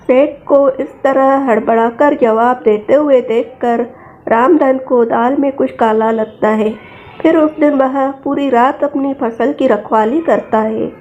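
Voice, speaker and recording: A woman's voice: high-pitched, unhurried, darkish tone, highs cut off. A woman narrating a scripted children's story.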